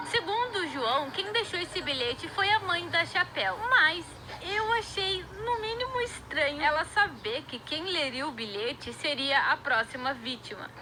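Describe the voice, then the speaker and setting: A woman's voice: high voice, animated, normal speed, few pauses. A woman with a Brazilian accent telling a story as informal commentary, dropping wry, joking asides. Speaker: narrator of a horror story recap. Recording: clear noise behind the voice.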